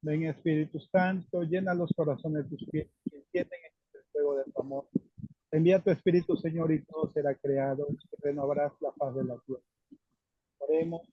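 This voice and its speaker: A man's voice: fairly high pitch, steady tone, brisk, husky, no room sound. A man leads prayer and moderates an online meeting over lo-fi call audio. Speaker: host and prayer leader.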